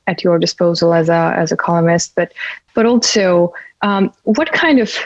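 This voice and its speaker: A woman's voice: lowish voice, dry recording, highs cut off. A woman hosting an interview, asking long questions in a hesitant way full of fillers. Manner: hesitant, many fillers and restarts. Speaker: host, interviewer. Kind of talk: interview over a call.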